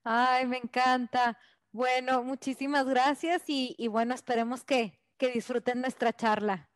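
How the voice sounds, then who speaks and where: High-voiced effusive woman podcast host. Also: steady tone, unhurried. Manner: effusive, appreciative. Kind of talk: casual chat.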